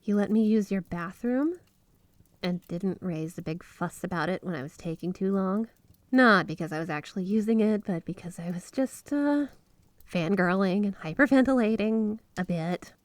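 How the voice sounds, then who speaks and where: A woman performs a doting, obsessive yandere wife in an ASMR roleplay. Her mid-pitched voice sits close and dry on the mic. She is flirty and gushing, leaning slowly into key words as she talks to her beloved. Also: dry recording, close to an everyday voice. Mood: flustered, excited. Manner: gushing, breathy giggles, drawn-out emphasis on words. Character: flirty, obsessively devoted yandere wife with magic snow powers. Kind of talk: intimate ASMR roleplay monologue to the listener.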